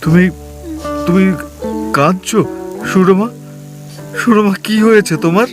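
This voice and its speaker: An actor playing a young, newly married husband who stammers and fusses in an audio drama. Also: male voice, sits high, measured pace, music in the background. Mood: fretful.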